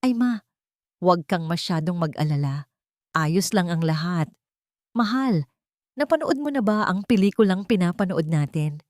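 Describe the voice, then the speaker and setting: A woman's voice: on the low side, lively intonation, moderate pace, pauses often, darkish tone, no echo, studio quality, close to an everyday voice. A woman voicing a sweetly manipulative young wife in an audio family drama, with a persuasive manner. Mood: breezy, reassuring.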